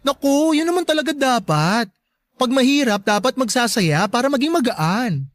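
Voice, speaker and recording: A woman playing a sharp-tongued, joking best friend in a Filipino radio drama, campy and teasing. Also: fairly high pitch, animated, normal speed, no echo, clean studio sound, natural voice. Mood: playful, teasing.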